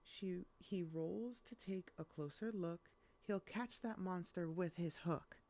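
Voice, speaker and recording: A woman reading a rhyming children's picture book aloud in an expressive storytelling style. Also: on the low side, takes it slowly.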